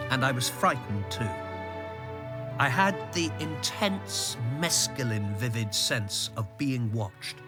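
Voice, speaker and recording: An actor playing a guarded, reflective middle-aged government insider, measured and drily ironic, in a radio drama. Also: male voice, fairly high pitch. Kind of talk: radio drama narration and dialogue.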